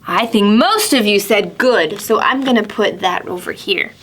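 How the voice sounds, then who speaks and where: A woman presenting storytime to preschoolers, asking simple questions and stressing key words deliberately. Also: medium pitch, a bit bright, breathy edge. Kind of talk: storybook reading and talking to young children.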